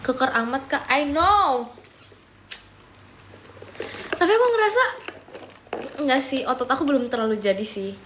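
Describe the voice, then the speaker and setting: Chatty young woman streamer, higher voice. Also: animated, normal speed, long pauses, a bit bright, slight background noise. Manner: chatty, often switching into English mid-sentence; talks while eating and sipping a drink. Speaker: live streamer. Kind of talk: casual livestream chat answering viewer comments.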